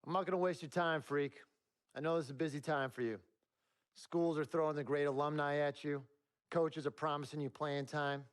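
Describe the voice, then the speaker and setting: A man's voice: a little high, steady tone, a little fast, lightly acted. A man playing a confident college basketball recruiter in a video game, speaking directly and with self-assurance.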